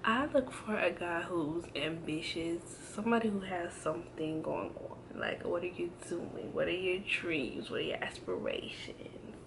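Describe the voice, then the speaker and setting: Slow, easygoing young woman vlogger. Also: fairly low pitch, vocal fry, noisy background. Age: 20s. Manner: relaxed, hesitant, many ums and restarts. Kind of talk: casual tag video chat. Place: indoors at home, in front of a backdrop. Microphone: camera framed close, seated.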